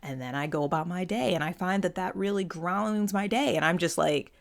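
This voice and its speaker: A woman's voice: lowish voice, fairly quick, gravelly. A woman giving a casual, unscripted explainer as a host, conversational, often checking in with the listener and voicing imagined quotes. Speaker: host of a personality typology show.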